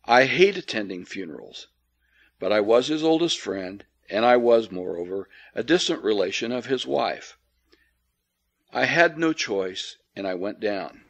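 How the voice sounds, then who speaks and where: A man narrating an old ghost story from a script in a measured, formal, dryly cynical storytelling manner. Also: on the low side, lively intonation, vocal fry, fairly bright tone. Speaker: audiobook reader.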